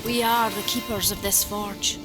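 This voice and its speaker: Actress as sturdy maker of the forge; mid-pitched, earnest, proud, old-world phrasing, over a loud game score. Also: female voice, steady tone, normal speed, natural voice. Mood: proud, wry. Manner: frank, earnest, archaic turns of phrase. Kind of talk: dramatic fantasy game acting. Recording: loud music behind the voice.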